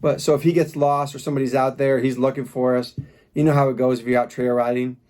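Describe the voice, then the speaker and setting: A man's voice: medium pitch, flat delivery, fast talker, darkish tone. A man doing an informal product review, talking casually as he demonstrates gear step by step.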